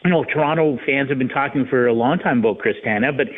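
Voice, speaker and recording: Phone-line sound, man, mid-pitched voice, casual sports analysis, rambling halting delivery. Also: breathy edge. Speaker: sports writer guest. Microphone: phone call. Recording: tinny narrow phone line.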